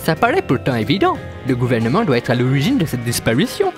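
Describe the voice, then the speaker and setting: A man acts as a shifty smuggler and informant, grumbling and conspiratorial. He talks quickly with an animated rise and fall. Music plays clearly behind the drama dialogue. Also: mid-range voice. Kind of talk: French audio drama dialogue.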